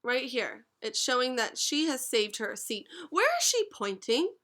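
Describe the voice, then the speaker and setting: Young female teacher, patient read-aloud style, bright and a touch high. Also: big pitch swings, normal speed. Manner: patient, explains words and asks questions. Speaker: teacher reading to class. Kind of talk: read-aloud storytime lesson.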